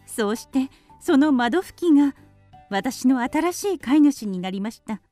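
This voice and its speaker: A woman's voice: high voice, expressive, unhurried, mellow, soft background music. A woman playing a devoted female canary in an audio drama, recounting her life politely and with wistful feeling.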